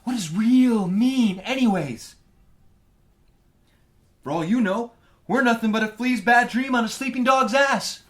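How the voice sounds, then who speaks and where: Satirical radio play: male actor as young lovesick writer, high, theatrical, unstable, slightly distant in an echoey room. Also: a little slow, long pauses. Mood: brooding, theatrical. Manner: melodramatic, swinging from hushed to shrill.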